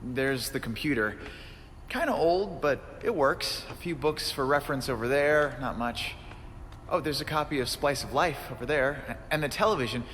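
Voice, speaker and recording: An actor playing a wisecracking young American superhero in a video game, earnest and restless, with a youthful voice and glib delivery. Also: male voice, medium pitch, expressive, moderate pace, fairly bright tone, long reverb tail, slight background noise, close to an everyday voice. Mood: breezy. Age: young adult. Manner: glib, quipping. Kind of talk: video game cutscene acting.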